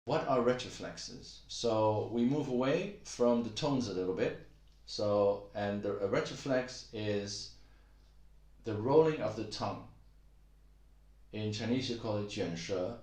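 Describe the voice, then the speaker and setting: A man's voice: on the low side, unhurried, darkish tone. A middle-aged man teaching Chinese pronunciation in a casual video lesson, patient and repetitive, with lots of "uh" fillers. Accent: Taiwanese-accented Mandarin. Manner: patient, repeats himself, many fillers. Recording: echoey room.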